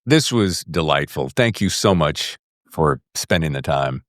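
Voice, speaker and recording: Middle-aged man, podcast host, lively swooping intonation, effusive, casual chat, dry close sound. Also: a bass voice, measured pace, no room sound. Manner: enthusiastic, effusive.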